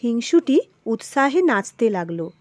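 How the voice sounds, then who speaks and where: A woman narrates a children's tale as an expressive storyteller. Her fairly high voice swings widely for emphasis, and she takes her time over the phrases in a dramatic way. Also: measured pace, dry recording.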